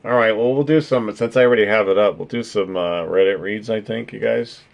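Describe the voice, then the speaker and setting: A man with a naturally deep voice hosting a casual stream chat, joking, swearing and laughing often. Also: fairly quick, vocal fry, dull audio. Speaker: streamer and podcast host.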